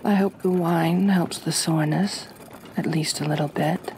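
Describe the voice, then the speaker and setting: A woman playing a kind but possessive, aristocratic vampire mistress in a soft-spoken ASMR roleplay, gentle and genteel, often close to a whisper. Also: low-pitched, fairly even intonation, moderate pace, slight background noise, rough recording. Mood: gentle, soothing. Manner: gentle, soothing, genteel, formal phrasing.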